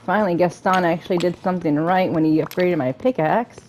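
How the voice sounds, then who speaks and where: A woman's voice: on the low side, a little fast, darkish tone, slight background noise. A woman hosting a gaming video, chatting casually and thinking aloud as she plays and reads quest text. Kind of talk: casual let's play commentary, reading quest dialogue aloud. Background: video game music.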